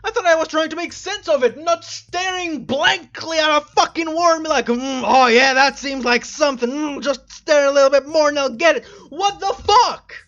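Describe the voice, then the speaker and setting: A woman's voice: high-pitched, normal speed, few pauses, fairly bright tone. A woman giving casual let's play commentary in an exasperated, sarcastic, sweary manner, on slightly muffled audio. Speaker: gaming video host.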